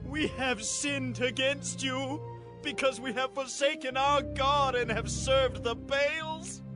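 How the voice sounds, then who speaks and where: A man's voice: very high-pitched, steady tone, slow pace, over music. A man playing a penitent Israelite pleading sorrowfully to God in a dramatized scripture reading. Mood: penitent, anguished.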